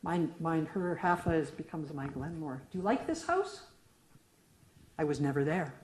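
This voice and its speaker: Low, mellow-voiced woman poet reading aloud. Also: expressive, normal speed, slight background noise. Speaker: poet reading her work. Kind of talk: poetry reading. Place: lecture room at a reading. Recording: echoey room.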